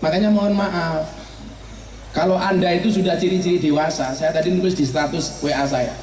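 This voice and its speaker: A man's voice: on the high side, fairly even intonation, fairly quick, a little mellow. A middle-aged man, giving a humorous sermon and teasing his audience. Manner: joking, teasing the audience, mixing regional dialect. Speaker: preacher. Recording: roomy, from far off, crowd and noise behind.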